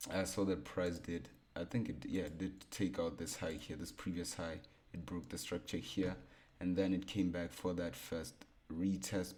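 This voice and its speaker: Deep-voiced laid-back man. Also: a little mellow. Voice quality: baritone. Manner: laid-back, repeats himself, thinks aloud. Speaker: forex trading educator. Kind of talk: casual screen-share chart breakdown.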